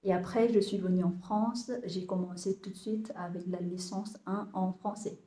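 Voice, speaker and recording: A woman in her thirties from Vietnam, speaking French with a Vietnamese accent, describing her compost business to camera and often pausing on fillers and restarts. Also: medium pitch, steady tone, mellow, echoey room. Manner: hesitant, many fillers and restarts. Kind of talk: casual talk to camera, halting.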